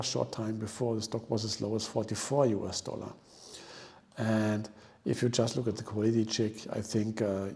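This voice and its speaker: A man hosting an investing video, explaining informally and a little haltingly as he walks viewers through a screen. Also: mid-range voice, dark tone. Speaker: host of a stock investing channel. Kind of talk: informal explanatory stock analysis commentary.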